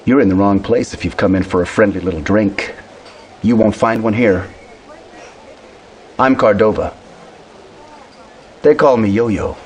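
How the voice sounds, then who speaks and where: Game cutscene: hostile rebel fighter, male actor, low-leaning and unhurried, coldly threatening. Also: slight background noise, lightly acted. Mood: cold menace. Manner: cold, threatening. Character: hostile, menacing male resistance fighter, bitter killer. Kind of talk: video game cutscene acting.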